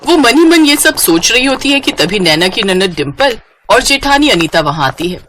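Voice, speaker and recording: A woman's voice: fairly high pitch, sing-song, moderate pace, a bit bright, a little hiss or hum. A woman narrating a scripted moral story as its storyteller.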